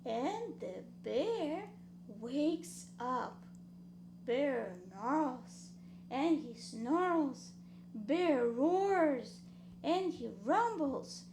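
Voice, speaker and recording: A woman's voice: high-pitched, animated, extremely slow, background noise. A woman reading a children's story aloud in a rhythmic, dramatic sing-song way. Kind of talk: expressive children's read-aloud.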